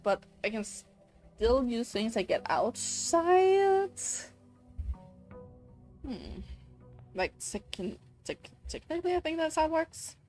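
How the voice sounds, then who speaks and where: A Danish woman streaming a game, thinking aloud and answering chat, often searching for words. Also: high voice. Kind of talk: casual gameplay chat.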